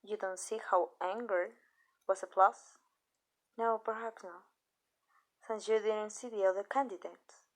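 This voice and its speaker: A woman playing a calm, possessive vampire owner in an ASMR roleplay, speaking softly and deliberately with pauses. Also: mid-range voice, lightly acted. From Mexico. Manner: measured, deliberate, soft-spoken with pauses. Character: calm, possessive female vampire owner addressing her human. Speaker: amateur voice actress.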